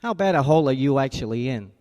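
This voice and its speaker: An actor playing a tough private detective who narrates and talks in a clipped, wry way, in 1940s radio style. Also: male voice, mid-range voice, animated, fast talker, warm tone, in a large hall, close to an everyday voice. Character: hard-boiled male private detective narrator.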